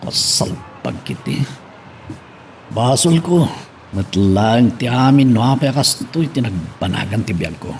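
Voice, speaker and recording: A man's voice: medium pitch, expressive, measured pace, darkish tone, slight background noise. A man playing a troubled lover brooding over his mistakes in an Ilocano drama monologue, with an Ilocano accent. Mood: regretful.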